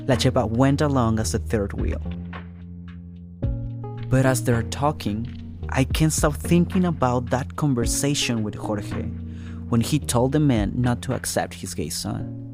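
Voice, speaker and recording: A man narrating a first-person podcast story in a measured way as its reporter. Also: mid-range voice. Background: music score.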